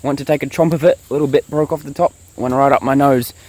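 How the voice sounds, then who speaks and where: A young man talking casually to viewers while filming himself outdoors in the bush, rambling and relaxed, with insects chirping behind him. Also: medium pitch, fast talker. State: sick, blocked nose and sore throat. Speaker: bushcraft vlogger.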